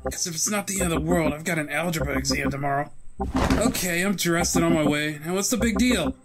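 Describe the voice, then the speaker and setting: A man's voice: a little high, fairly quick, over a noisy crowd, lightly acted. A man playing a cocky teenage superhero in a comic book dub, talking brash and tough. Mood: weary, confident. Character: giant armored male superhero, cocky teenage boy inside. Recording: loud music behind the voice.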